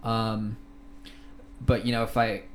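This is a young man in his twenties with an American-sounding accent, speaking as a guest on a studio podcast. His voice sits a little low. He talks in a laid-back, thoughtful way, full of pauses and restarts. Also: flat delivery, slight background noise. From Philippines and Thailand. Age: 20s. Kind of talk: casual interview conversation.

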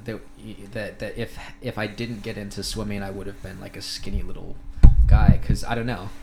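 A man in his twenties from the Philippines and Thailand with an American-sounding accent, a studio podcast guest who talks in a laid-back, thoughtful way with many pauses. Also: on the low side, flat delivery, loud music behind the voice. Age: 20s. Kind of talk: casual interview conversation.

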